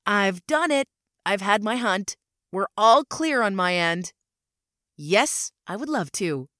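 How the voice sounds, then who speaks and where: A woman's voice: medium pitch, lively intonation, slow pace, fairly bright tone, no room sound, studio quality. A woman playing a menacing, gloating female alien hunter who disguises herself as a human, in an amateur audio drama. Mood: smug.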